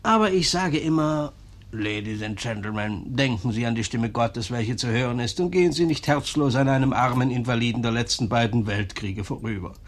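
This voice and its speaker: A man's voice: mid-range voice, normal speed, lightly acted. A man acting in a German radio play as a cheerful, self-satisfied beggar and war veteran, theatrical and expansive. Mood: gleeful, boastful.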